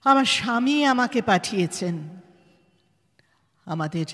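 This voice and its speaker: A woman acting as a resolute survivor in a radio-style play, with a Bengali accent and an animated, swooping delivery. Her voice is bright yet husky, and she speaks with emphatic, dramatic pauses in a long reverb. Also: fairly high pitch, exaggerated voice. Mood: grave, composed. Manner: dramatic, emphatic, deliberate pauses, switches into English. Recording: reverberant hall effect under music.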